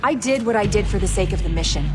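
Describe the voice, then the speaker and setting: Woman as firm, no-nonsense intelligence officer, middle-pitched. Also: animated, very noisy, natural voice. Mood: defensive, firm. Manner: brisk, assertive, matter-of-fact. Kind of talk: cinematic video game acting. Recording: music behind the voice.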